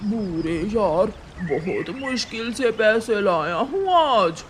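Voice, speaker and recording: A man's voice: high-pitched, sing-song, takes it slowly, a bit bright, slight background noise, full character voice. A man voicing a selfish, swaggering drunkard crow in a cartoon story, with a bullying manner. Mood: boastful. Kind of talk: Hindi cartoon story voice acting.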